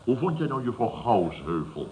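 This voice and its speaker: An actor playing a boastful, boisterous builder boss who tells stories in a repetitive, blustering way in a radio comedy. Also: male voice, mid-range voice, big pitch swings, moderate pace, dark tone, slight background noise, natural voice. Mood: amused. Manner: blustering, repetitive storyteller acting out quotes. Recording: dull old radio recording, studio audience.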